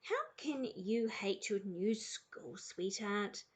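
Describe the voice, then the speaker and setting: A woman's voice: medium pitch, expressive, slow. A woman voicing a patient, coaxing storybook husband who is mildly exasperated. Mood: reassuring.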